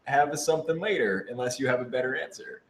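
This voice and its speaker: A man's voice: mid-range voice, fast. A man on a show's panel, bantering casually and playfully in short interjections. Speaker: show cast member and panelist. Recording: echoey room with background noise.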